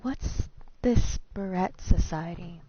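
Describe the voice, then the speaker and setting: Low-voiced woman streaming a game. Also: slow, warm tone, slight background noise. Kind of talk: live game stream commentary, casual. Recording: muffled stream, game music behind.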